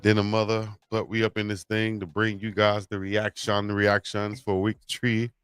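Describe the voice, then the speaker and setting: A man's voice: fairly low pitch, monotone, normal speed, fairly bright tone, clean tone, dry recording, studio quality. A middle-aged man co-hosting a casual sports chat, telling stories in a laid-back way with plenty of fillers. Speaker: co-host. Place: Hawaii, at home on a live stream.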